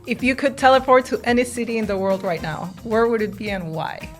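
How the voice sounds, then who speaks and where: A woman's voice: fairly high pitch, lively intonation, a little fast, faint music behind. A woman from Peru hosting an interview, chatty and affirming, who often tags her sentences with "right?". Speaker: interviewer host. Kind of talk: casual interview conversation.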